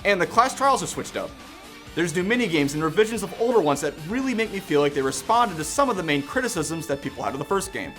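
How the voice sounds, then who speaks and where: A man's voice: sits high, very animated, fast talker, fairly bright tone, soft background music. A man hosting a video game review, talking in a casual, opinionated way. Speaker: host and reviewer.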